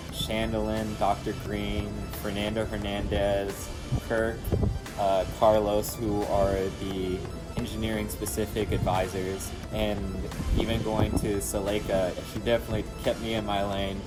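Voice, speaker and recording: A man's voice: fairly low pitch, poor audio. A young man from Houston, a recent graduate now working as an engineer, talking earnestly to camera from an outdoor rooftop. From Houston, Texas. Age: young adult. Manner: earnest, conversational, with small restarts. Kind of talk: talking to camera about his studies and career.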